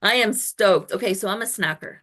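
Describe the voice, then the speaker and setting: An enthusiastic woman in her fifties hosts a conversational podcast. Her voice sits at an average pitch. She talks informally and effusively, addressing guest and listeners like friends. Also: brisk, fairly bright tone. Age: 50s. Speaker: show host and health coach. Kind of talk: chatty podcast interview hosting.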